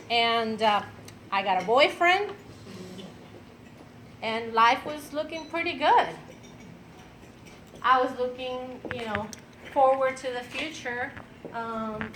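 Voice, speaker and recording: A woman, telling her personal story at an event in an unhurried way with fillers and light laughs. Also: fairly high pitch, a bit bright, slight background noise, rough recording. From Venezuela. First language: Spanish. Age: 50s. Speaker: speaker at an event. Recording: clattering dishes and cutlery behind.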